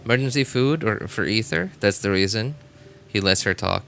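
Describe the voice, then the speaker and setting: A man chatting casually as a live game streamer, rambling and halting as he often repeats himself. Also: on the low side, animated, creaky, a bit bright, slight background noise.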